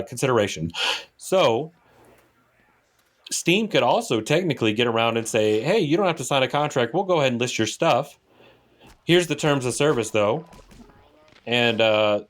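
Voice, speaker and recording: American man, podcast co-host, casual, explanatory, emphatic, mid-pitched voice. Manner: explanatory, emphatic, uses rhetorical questions.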